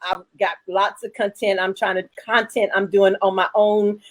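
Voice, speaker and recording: A woman's voice: mid-range voice, little pitch movement, normal speed. A woman hosting a chat about her work as a filmmaker, answering viewers in a frank, self-assured way. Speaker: host, independent filmmaker.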